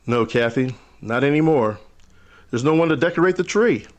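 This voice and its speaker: An actor playing a kindly older rural storekeeper in a radio play, folksy, halting, hospitable and a little wistful. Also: male voice, mid-range voice, animated, dull audio, close to an everyday voice. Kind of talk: old-time radio drama acting.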